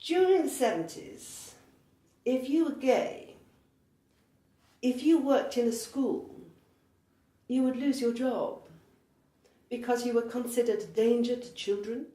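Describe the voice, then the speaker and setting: An older woman from the north of England, recalling her life in slow, measured, poetic phrases. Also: a little high. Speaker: interviewee and narrator. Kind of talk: reflective spoken recollection. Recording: roomy recording with loud music behind.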